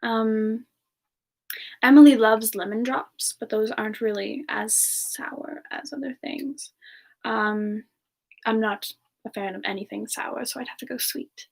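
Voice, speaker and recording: A woman playing a gentle, polite frost demon with no memory, speaking softly and hesitantly in an in-character ASMR-style Q&A. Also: a little high, fairly even intonation, a little slow, vocal fry. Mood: thoughtful. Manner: hesitant, soft-spoken, slips into whispers. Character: gentle, polite amnesiac frost-powered demon woman, reborn from long ago. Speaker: streamer answering viewer questions in character. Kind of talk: semi-ASMR in-character Q&A, soft improvised chat.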